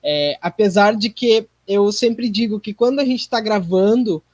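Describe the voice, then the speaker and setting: A man's voice: high-pitched, unhurried, no echo, dull audio. Man, Brazilian accent, casual anecdotal interview talk, dry close sound. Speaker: podcast guest, actor.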